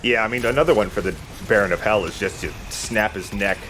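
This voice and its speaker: Low-voiced man doing game commentary, some noise. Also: quick pace, a bit bright. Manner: explanatory. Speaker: let's play host. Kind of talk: casual gameplay commentary.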